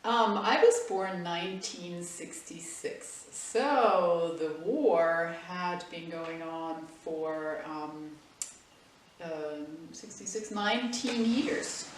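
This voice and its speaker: A German woman in her fifties with a German accent, recalling her past slowly in an interview. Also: on the low side. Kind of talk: recalled personal history in an interview. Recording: echoey room, far from the mic.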